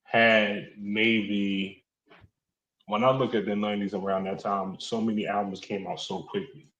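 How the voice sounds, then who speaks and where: A man in his thirties co-hosting a casual hip-hop chat, measured and thinking aloud in long sentences. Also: fairly low pitch, little pitch movement, a bit bright. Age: 30s. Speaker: podcast co-host. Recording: dull, roomy mic sound.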